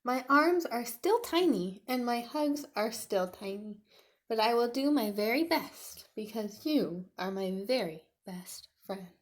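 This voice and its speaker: Woman voicing a tiny, earnest, slow-speaking young dinosaur. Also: on the high side, expressive. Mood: hopeful. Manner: emphatic, deliberate, childlike delivery. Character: tiny earnest young male T. rex, determined. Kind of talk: children's storybook read-aloud.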